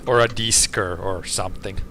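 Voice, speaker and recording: Laid-back farmer, low voice. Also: male voice, fairly even intonation, bright, forceful tone, a little hiss or hum. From Finland. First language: Swedish. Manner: halting, restarts phrases, laid-back. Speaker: organic farmer. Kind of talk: casual chat while tractoring. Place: tractor cab.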